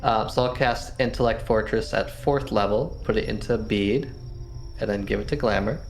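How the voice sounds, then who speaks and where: Male roleplay gamer, lowish voice, casual and hesitant thinking aloud, soft music underneath. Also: moderate pace. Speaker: tabletop game player. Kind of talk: casual in-game planning chat.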